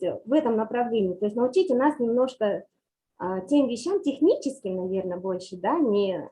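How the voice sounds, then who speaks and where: A woman's voice: a little high, warm tone, slight background noise, rough recording. Hesitant woman on a video call. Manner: hesitant, many fillers. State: unwell, speaking without video. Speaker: municipal participant. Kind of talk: online meeting remark. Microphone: video call audio.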